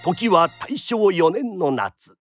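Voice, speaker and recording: An actor narrating a period radio drama as a theatrical kodan storyteller, formal and declamatory. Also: male voice, high-pitched, animated, takes it slowly, fairly bright tone, soft background music, exaggerated voice. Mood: dramatic narration. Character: lively traditional storyteller narrating a period tale.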